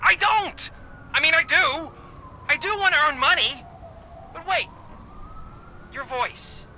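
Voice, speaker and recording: Frantic, very high-pitched small-time crook. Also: male voice, slow, slight background noise, exaggerated voice. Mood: flustered. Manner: excitable, blustering, swearing. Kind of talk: video game voice acting.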